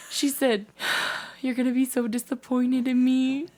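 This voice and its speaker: A woman's voice: high voice, level tone, measured pace, warm tone, poor audio. A woman hosting a casual interview podcast, with a playful, teasing way of talking. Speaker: podcast host.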